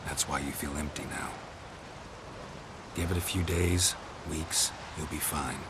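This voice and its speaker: A man voicing a gruff, stoic monster hunter in a video game, low and gravelly, terse and deadpan with dry humour. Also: unhurried, noisy background. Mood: calm, matter-of-fact.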